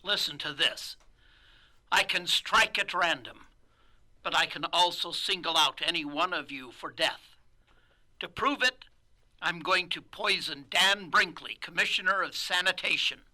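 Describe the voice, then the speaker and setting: An actor playing an urgent newspaper reporter calling in by phone in a radio drama. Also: male voice, high voice, unhurried, bright, forceful tone, heavily acted, low-quality recording.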